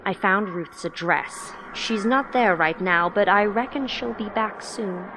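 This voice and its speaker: Woman acting a slow, cryptic schemer. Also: medium pitch, background noise, close to an everyday voice. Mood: hushed, commanding. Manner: measured, condescending, knowing. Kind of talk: audio drama dialogue.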